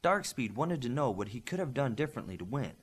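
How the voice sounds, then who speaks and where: A man reading scripted fiction aloud as an audiobook narrator, in an expressive, animated manner. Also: mid-range voice, quick pace, slightly rough.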